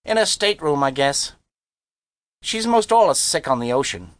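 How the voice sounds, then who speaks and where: A man's voice: fairly high pitch, big pitch swings, moderate pace, no room sound, voice pushed up. A man voicing a brash, boastful American teenage boy with slangy, show-off talk in an audiobook story. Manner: slangy, cocky, showing off.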